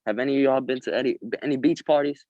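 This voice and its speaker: A man of medium pitch reads from a script and prompts other readers. The sound is close and dry, with the slightly dull band of call audio. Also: fairly even intonation, fast talker, dark tone, dry recording. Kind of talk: table read, reading lines and coaching. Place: video call table read.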